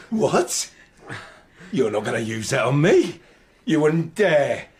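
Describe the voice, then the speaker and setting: Radio drama bully: bad-tempered English husband, sneering threats, very lively intonation. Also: male voice, fairly high pitch, slow pace, a little hiss or hum. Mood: mocking, menacing. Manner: sneering, belligerent, taunting.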